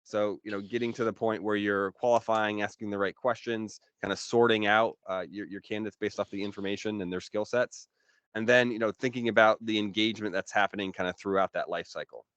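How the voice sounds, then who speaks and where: A man with a smooth, fairly low voice, recorded close and dry. He explains business matters as an expert guest in an informal, conversational way. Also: steady tone, a little fast, a bit bright, no echo, dull audio. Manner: informal, explanatory, frequent "you know".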